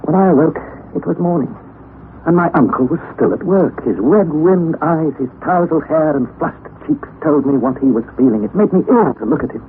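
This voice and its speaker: A young actor playing a wry, anxious nephew who narrates the story, in a 1960s radio drama on a tinny, lo-fi broadcast recording. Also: male voice, on the high side, moderate pace, a little hiss or hum, lightly acted. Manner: earnest storytelling, rising to excited outbursts.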